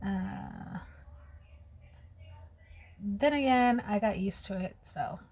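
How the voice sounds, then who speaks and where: A woman's voice: mid-range voice, very slow, darkish tone, breathy edge. A woman in her thirties with a slight lisp, chatting casually and openly to her viewers while doing her makeup. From United States. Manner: chatty, open and personal. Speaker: vlogger.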